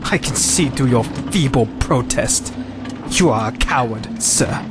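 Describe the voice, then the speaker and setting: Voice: medium pitch, expressive, a little slow, music in the background, natural voice. An actor playing a bitter, fatalistic soul resigned to death in an audio drama, formal and contemptuous. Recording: vehicle engine noise behind. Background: idling vehicle.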